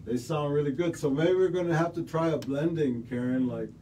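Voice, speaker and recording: A middle-aged man talking casually in a small radio studio, relaxed and rambling with many fillers. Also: a little high, fairly quick, a little hiss or hum. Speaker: studio guest musician. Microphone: studio mic on stand, headphones on. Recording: slightly distant mic.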